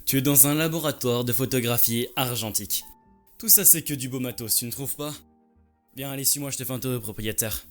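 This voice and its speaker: A man's voice: mid-range voice, fairly quick, soft background music, close to an everyday voice. A man playing a passionate, touchy lynx who owns a photo lab, talking in an informal, slangy way in an audio story. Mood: welcoming. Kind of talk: French audio story dialogue.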